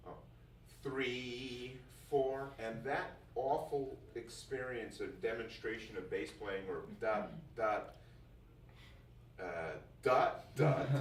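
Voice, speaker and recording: A man giving an informal, jokey first-day talk to music students as their teacher, often repeating phrases for emphasis. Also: medium pitch. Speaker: music school teacher addressing new students. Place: indoor classroom. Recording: roomy, distant mic, faint noise.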